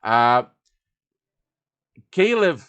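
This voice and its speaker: A man's voice: mid-range voice, sing-song, very slow, a bit bright, clear, resonant tone. A man giving an informal live tutorial, thinking aloud as he works through each step. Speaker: tutorial host. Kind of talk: live software tutorial, casual and improvised. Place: live stream over voice chat.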